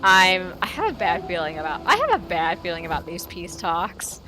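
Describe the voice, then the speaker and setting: A woman's voice: fairly low pitch, wildly swooping pitch, normal speed, noisy background. A woman doing casual live gameplay commentary, talking to herself and playfully reacting aloud as she streams. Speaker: game livestreamer. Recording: game music under commentary.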